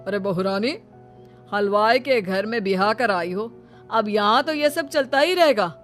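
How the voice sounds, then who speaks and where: A woman playing a doting, stubborn middle-aged mother-in-law in a cartoon story, with exclaiming, drawn-out homely speech. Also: mid-range voice, lively intonation, faint music behind, natural voice. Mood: scolding. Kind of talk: Hindi cartoon story acting.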